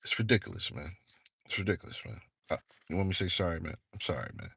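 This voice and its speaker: A man's voice: low-pitched, very animated, fast talker, vocal fry, a bit bright, husky, no echo. A young man from the northeastern United States giving a rambling, laid-back monologue full of fillers and slang. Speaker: channel host. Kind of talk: casual monologue vlog.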